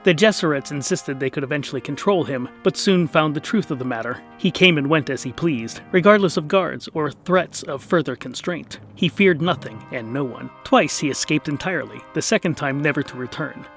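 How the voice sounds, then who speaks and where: A man's voice: a little high, animated, brisk, hardly any pauses, fairly bright tone, soft background music. A man narrating a scripted comic fantasy story in a dramatic storytelling manner with dry wit.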